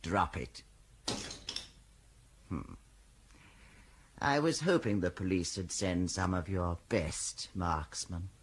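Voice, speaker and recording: Taunting hitman, slow and swoopy, chuckles to himself, radio play. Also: sits low, lively intonation, breathy edge, full character voice. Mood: taunting, gloating. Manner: mocking, deliberate, chuckling. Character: gloating male assassin, taunting and coolly boastful. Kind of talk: radio drama acting.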